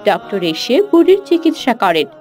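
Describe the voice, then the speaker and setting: A woman's voice: on the high side, big pitch swings, normal speed, a little mellow, faint music behind. A woman reading scripted narration for a children's cartoon story.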